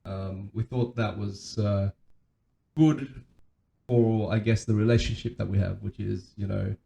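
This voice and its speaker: A man in his thirties co-hosting a podcast, chatting casually in a hesitant, halting way. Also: fairly even intonation, creaky, mellow. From Australia. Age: 30s. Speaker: podcast co-host. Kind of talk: casual chat. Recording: roomy echo, faint background noise, low rumble.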